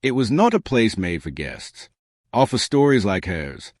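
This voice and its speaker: A man narrating a science fiction story in a measured, dramatic way with short pausing phrases. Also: on the low side, very animated, dry recording, studio quality. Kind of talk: scripted dramatic sci-fi audiobook narration.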